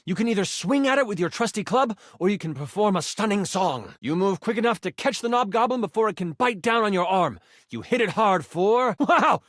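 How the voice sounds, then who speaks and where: A personified gaming die who runs a fantasy tabletop adventure with dramatic, playful flair. The pitch sits fairly high and swings widely, in a close, dry video game recording. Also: male voice, expressive, fairly quick, few pauses, a bit bright, no room sound. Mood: excited. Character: excitable male D20 die personified as dramatic game master. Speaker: game master narrator. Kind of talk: theatrical tabletop fantasy storytelling.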